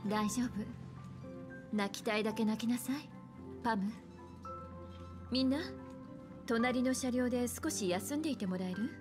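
A woman plays a poised, caring lady in an anime game. Her voice is fairly high and soothing, polite and gentle, with clear music behind. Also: a little slow, long pauses, natural voice.

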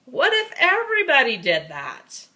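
A woman's voice: high voice, wildly swooping pitch, unhurried, pushed and bright, pitched above the performer's own voice, full character voice. A woman voicing stern grown-ups who emphatically scold a naughty child in a storybook.

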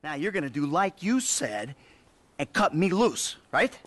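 An actor playing a cynical, fed-up criminal in a video game, wary and needling with sardonic delivery. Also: male voice, fairly high pitch, sing-song, a little slow, no echo.

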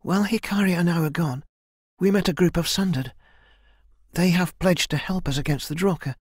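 Man voicing an earnest young warrior woman, high-pitched. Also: moderate pace, husky, no room sound, studio quality. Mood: earnest, hopeful.

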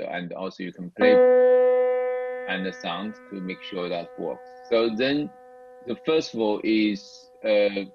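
Low-voiced man lecturing, non-native English, dull recording. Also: breathy edge, no echo. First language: not English. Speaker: university lecturer. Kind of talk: screencast software tutorial, semi-improvised explanation. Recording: dull, muffled lo-fi microphone.

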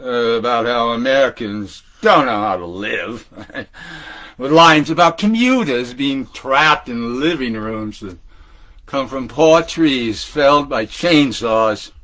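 An older man performing a theatrical monologue like a beat storyteller, animated and halting, with drawn-out words. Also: a little high. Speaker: solo performer.

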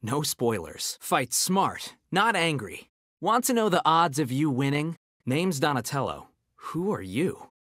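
A man's voice: fairly high pitch, big pitch swings, unhurried, a bit bright, no room sound, clean studio sound, full character voice. A man voicing a brainy, cocky young ninja turtle in a video game, with an American accent and nerdy, quippy confidence. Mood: confident, nerdy. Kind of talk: video game character battle banter.

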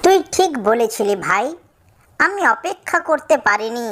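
A woman's voice: on the high side, sing-song, moderate pace, a bit bright. A woman voicing an impatient, complaining young male green mango in a children's moral cartoon. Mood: regretful. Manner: complaining, lamenting.

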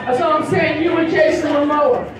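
A raspy-voiced woman, performing a bawdy, self-mocking stand-up set on a handheld mic in a large hall. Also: high-pitched, fairly even intonation, fairly quick, a crowd in the background. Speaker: stand-up comedian. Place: large indoor hall on a small stage. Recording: echoey hall from far off with audience.

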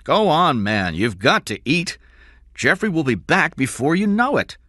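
A man voicing a confident, sharp-spoken wealthy father in an audiobook thriller, assertive and brusque. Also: on the high side, very animated, unhurried, dry recording, clean studio sound, pitched above the performer's own voice, heavily acted. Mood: brusque, assured.

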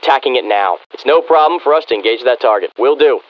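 A man's voice: mid-range voice, lively intonation, fast, natural voice. Man acting a confident American elite soldier, muffled. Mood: cool, confident. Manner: terse, self-assured military delivery. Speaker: video game voice actor. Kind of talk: military game unit acknowledgements. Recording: slightly muffled radio-style game audio.

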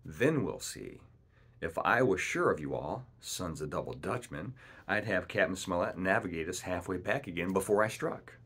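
A scheming, menacing pirate ship's cook as a male narrator plays him, husky and swinging widely in pitch. He talks in boastful, rustic seafaring turns, wheedling and bossing like a storybook villain. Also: animated, normal speed, fairly bright tone, full character voice. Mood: exasperated, scheming.

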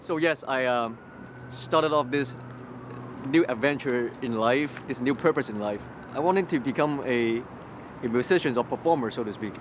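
A man's voice: medium pitch, normal speed. A young man from Hong Kong, a Cantonese native speaker, telling his story in an interview on a city street, with many restarts and repeated words. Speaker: interview guest. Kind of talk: personal testimony interview. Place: outdoors on a city street by a graffiti wall. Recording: street noise in the background. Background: city traffic noise.

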